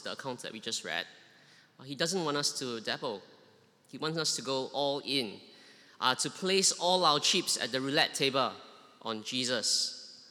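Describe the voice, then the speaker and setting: A man's voice: medium pitch, bright, forceful tone. Singaporean man preaching in a reverberant hall. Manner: frequent "uh" fillers, emphatic repeated questions. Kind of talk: sermon.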